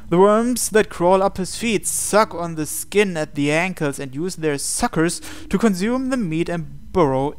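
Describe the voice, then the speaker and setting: A man hosting a game playthrough, reading visual novel text aloud and commenting on it in a measured way with pauses between phrases. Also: fairly high pitch, clean tone.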